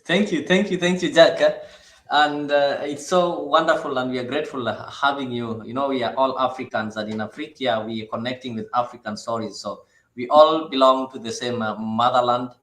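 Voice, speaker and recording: A man hosting a panel discussion on a talk show, often pausing with hesitant fillers. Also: mid-range voice, steady tone, a bit bright, roomy sound.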